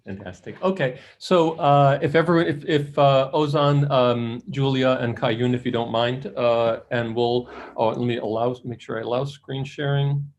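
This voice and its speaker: A man's voice: medium pitch, normal speed, hardly any pauses, lo-fi sound. A man moderating a thesis review over a video call, talking loosely with many fillers and restarts. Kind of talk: casual chairing on a video call. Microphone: computer mic on a video call.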